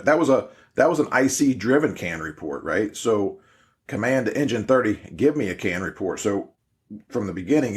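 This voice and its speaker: Guy with a lowish voice walking thru a review, casual, explains stuff like an instructor. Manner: informal, explanatory, often tags points with "right?". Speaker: podcast host and incident command instructor. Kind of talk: casual spoken analysis of fireground radio traffic.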